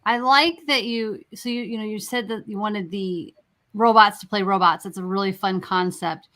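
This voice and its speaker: A woman's voice: medium pitch, fairly even intonation, normal speed, sharp tone. A woman hosting a casual interview, talking in a conversational way as she puts questions to guests. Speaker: interview host.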